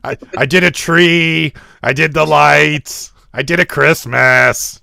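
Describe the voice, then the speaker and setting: A man's voice: a little high, slow, vocal fry, pushed and bright, smooth, dry recording. A man hosting a podcast in casual chat, with a sore throat and a fading voice, trading dry upbeat banter. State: sore throat, losing his voice. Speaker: podcast host.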